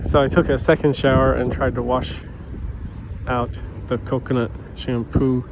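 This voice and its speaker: A man's voice: mid-range voice, fairly even intonation, measured pace, background noise. A man vlogging in a rambling, casual way with many fillers and restarts, sounding stuffy and sniffling. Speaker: vlogger, educator. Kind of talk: casual monologue to camera.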